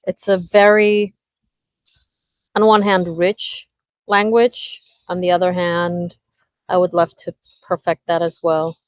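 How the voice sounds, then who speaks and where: A woman from Israel with an Israeli accent, speaking English as a second language in a casual group call, pausing and searching for words as she talks. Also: lowish voice, level tone, clean tone, no echo. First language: Hebrew. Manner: halting, searches for words with many fillers. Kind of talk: casual video call chat.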